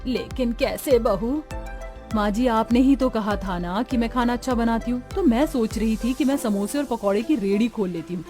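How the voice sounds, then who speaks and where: A woman plays a devoted woman of an Indian family drama, earnest and emotive. Her voice is on the high side, with faint music behind it. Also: moderate pace, lightly acted. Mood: caring. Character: young caring dutiful daughter-in-law and worried mothers. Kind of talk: dramatised story dialogue. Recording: music under the voice.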